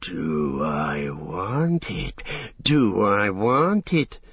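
A man's voice: low-pitched, expressive, drawn-out words, breathy edge, dry recording, lo-fi sound, exaggerated voice. A man voicing a menacing, greedy orc in an audiobook, hissing and drawing out mock-tender words with cruel relish. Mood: sly, eager. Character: sly, menacing male orc, greedy, falsely sweet, cruel. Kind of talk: audiobook fantasy villain.

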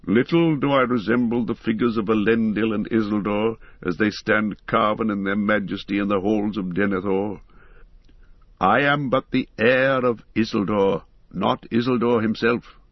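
A man reading the part of a grave, weary heir of kings, speaking calmly and earnestly. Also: on the low side, moderate pace, no room sound, highs cut off. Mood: dignified. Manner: calm, dignified, earnest.

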